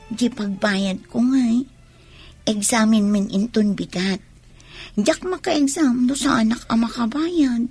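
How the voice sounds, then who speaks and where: A husky, pleading young mistress. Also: female voice, a little high, slow pace, a little mellow, dry recording, faint music behind, heavily acted. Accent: Ilocano. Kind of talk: radio drama acting.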